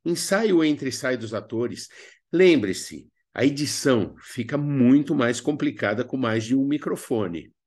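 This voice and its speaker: Brazilian man, very animated intonation, mid-pitched voice, didactic delivery, instructional video lesson. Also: unhurried. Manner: didactic, asks rhetorical questions and answers them. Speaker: radio theatre workshop instructor.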